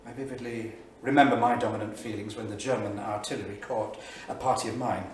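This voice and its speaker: A man's voice: on the low side, fairly even intonation, fairly quick, natural voice. Middle-aged male diarist and veteran, played by a man, sardonic, emphatic stage reading; heavily reverberant, off-mic. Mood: grave, reflective. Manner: sardonic, emphatic, literary declamation. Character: anguished middle-aged male English writer, war veteran, scornful diarist. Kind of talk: staged reading of dramatised diary. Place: small theatre studio. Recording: echoey theatre, distant mic, faint noise.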